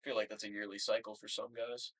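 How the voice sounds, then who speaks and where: A man's voice: lowish voice, level tone, fast talker, a bit bright. A man in his twenties co-hosting a casual sports podcast chat in a small room. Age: 20s. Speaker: podcast co-host, interviewer. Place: small plain room, indoors, seated.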